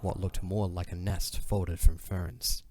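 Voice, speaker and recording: A man with a low voice narrates a scripted audio drama. He delivers it as storytelling, with faint music playing underneath. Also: flat delivery, slow, dark tone.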